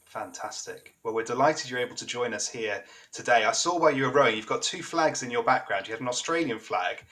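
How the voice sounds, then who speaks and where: A man's voice: mid-range voice, lively intonation, a little fast, pushed and bright. A man interviewing an athlete after a race, upbeat and encouraging.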